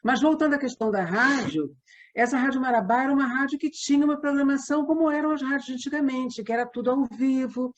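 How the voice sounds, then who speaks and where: Female interviewee, Brazilian accent, slightly raised pitch, conversational storyteller, halting and digressive. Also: muffled recording, a little hiss or hum. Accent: Brazilian Portuguese. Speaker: interview guest, theatre company member.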